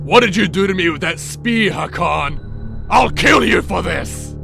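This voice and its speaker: A man playing an enraged, wounded beast villain in an animated fan dub, snarling and shouting. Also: sits high, unhurried, vocal fry, exaggerated voice. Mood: furious. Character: enraged, wounded villain beast robbed of half his mind. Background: music.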